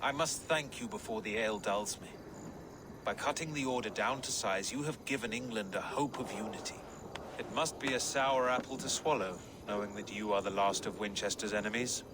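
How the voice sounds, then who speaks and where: An actor playing a courteous, calculating medieval English reeve with a measured, dryly ironic manner. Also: male voice, on the low side, noisy background, lightly acted. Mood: wry, courteous. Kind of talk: video game character acting.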